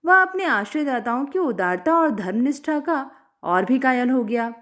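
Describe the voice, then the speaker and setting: A woman narrates a written story in a high voice. Her delivery is expressive and very lively in intonation, as in an audiobook performance. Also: normal speed. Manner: expressive, acts out dialogue. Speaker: storyteller reading short fiction aloud.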